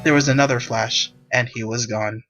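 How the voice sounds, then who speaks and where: A narrator reads a visual novel script in a mid-pitched voice. Music plays clearly behind the scripted storytelling. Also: steady tone, normal speed, rough recording. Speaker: narrator and reader of all parts. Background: background music.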